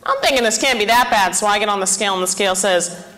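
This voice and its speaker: A young woman in her twenties performing a self-deprecating stand-up comedy set on a club stage, with deadpan punchlines and chatty asides to the crowd. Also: mid-range voice, quick pace. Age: 20s.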